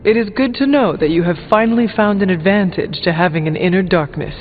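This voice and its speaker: A man plays a brooding, skeptical video game hero with a high voice. The delivery is dry, formal and questioning. It rings with long reverb and sounds narrow, like a phone. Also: brisk, slight background noise, close to an everyday voice. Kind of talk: scripted video game dialogue.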